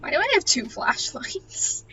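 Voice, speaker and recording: A woman's voice: a little slow, recorded from a distance, highs cut off. A woman chatting casually while streaming a video game, with a playful, silly manner and a habit of repeating phrases in a singsong. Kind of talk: casual gameplay chat.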